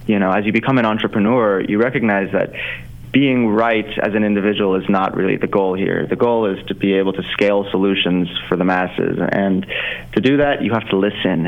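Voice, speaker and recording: A young man whose first language is Farsi, answering interview questions in an earnest, reflective way, with frequent fillers and restarts. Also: sits low. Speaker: author and entrepreneur guest. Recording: slightly dull lo-fi audio.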